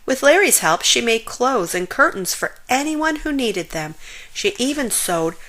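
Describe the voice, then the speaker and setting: Slow, lively woman reading a storybook. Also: fairly high pitch. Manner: expressive, unhurried storytelling with drawn-out emphasis. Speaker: storybook reader. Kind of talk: children's picture book read-aloud.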